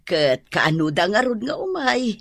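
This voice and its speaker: A jolly, joking landlady in a radio drama. Her husky voice is slow but lively in pitch. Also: no room sound, studio quality, heavily acted. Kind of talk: Ilocano radio drama acting.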